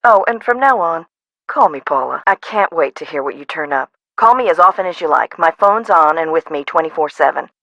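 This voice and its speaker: A woman's voice: low-pitched, animated, fairly quick, fairly bright tone, dry recording, full character voice. An actress voicing a frazzled, no-nonsense woman who runs an amusement park in a video game, conversational and dry. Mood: brisk, confiding. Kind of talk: scripted video game voice acting.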